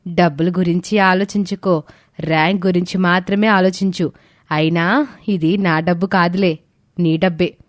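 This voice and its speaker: A woman's voice: fairly low pitch, fairly even intonation, normal speed, dry recording, studio quality, natural voice. A woman playing a caring, encouraging teacher who explains things patiently and firmly in an audio story. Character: Bharathi, caring, encouraging female teacher and mentor. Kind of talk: scripted audio story dialogue.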